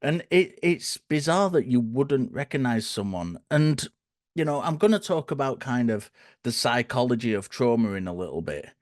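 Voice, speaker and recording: Male guest, croaky and hoarse, thoughtful, restarts sentences, mid pitch, dead-dry call audio. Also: big pitch swings, moderate pace, no room sound. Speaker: guest speaker. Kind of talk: conversational explanation.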